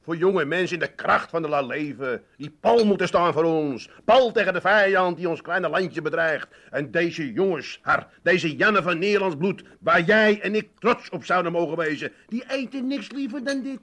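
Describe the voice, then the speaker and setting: Blustering Amsterdam son and schemer, high voice, smooth-talking sales patter, grandiose delivery for radio comedy. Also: male voice, animated, moderate pace, hardly any pauses, a bit bright, exaggerated voice. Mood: pompous. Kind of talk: comic radio play acting.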